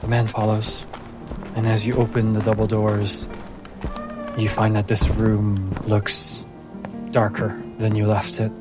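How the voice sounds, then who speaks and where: A man narrating a fantasy tabletop game as game master, with measured, dramatic pauses. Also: lowish voice.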